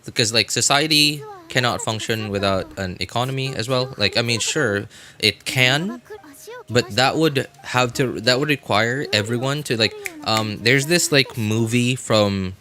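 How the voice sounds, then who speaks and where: A man's voice: mid-range voice, unhurried, pushed and bright. A man chatting casually as a game livestreamer, hesitant and searching for words, often repeating himself. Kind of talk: casual rambling livestream chat.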